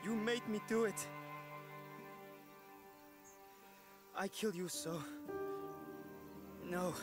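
A man playing a wary, lost young adventurer in a video game, speaking in halting short phrases. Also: high-pitched. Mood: shaken. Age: young adult. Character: young male adventurer, wary, lost, talking to his troll. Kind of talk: video game cutscene acting. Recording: loud music behind.

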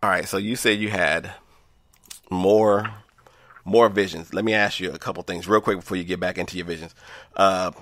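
A man's voice: low-pitched, fairly even intonation, moderate pace, a bit bright, no echo, clean studio sound. A man hosting a radio show from the area, with a laid-back, casual interviewing manner. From Evanston, Illinois.

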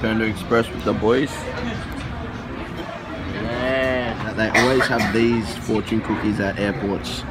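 A man's voice: lowish voice, steady tone, slow pace, pauses often. A young man chatting casually with friends as a vlogger, teasing them with crude jokes. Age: young adult. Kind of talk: casual banter with friends while travelling. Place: busy airport and vehicles. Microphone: handheld camera. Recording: noisy handheld camera audio in public spaces. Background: loud travel and crowd ambience.

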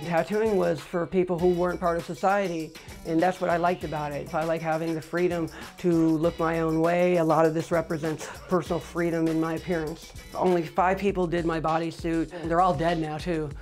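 A middle-aged man with a high voice, speaking matter-of-factly in an interview, with frequent fillers. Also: a little mellow. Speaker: record holder. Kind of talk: interview monologue. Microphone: lapel.

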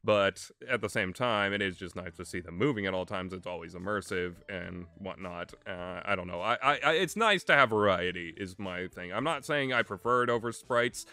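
A young man giving a casual, rambling game review in a halting way, often chuckling as he goes. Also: sits low, animated, sharp tone, smooth. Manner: hesitant, halting, often chuckling mid-sentence.

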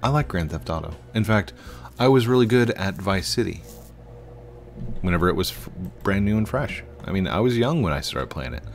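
A man's voice: low-pitched, expressive, moderate pace, soft background music. A middle-aged American man giving casual, playful gameplay commentary, rambling to himself and his viewers. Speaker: gaming video host. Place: indoors at a desk, webcam stream setup.

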